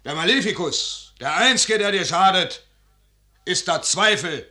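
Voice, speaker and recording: A high-pitched, bright, urgent scheming officer. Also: male voice, animated, measured pace, exaggerated voice. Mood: urgent, pleading. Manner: emphatic, persuasive declamation. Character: urgent, scheming, loyal field officer pressing his commander. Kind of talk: 1950s German radio drama acting, classical verse. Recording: 1955 radio studio recording.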